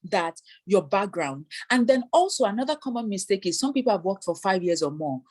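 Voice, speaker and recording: A woman's voice: mid-range voice, sing-song, fairly quick, a little mellow. Woman immigration consultant explaining advice. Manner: explanatory, voices hypothetical applicants.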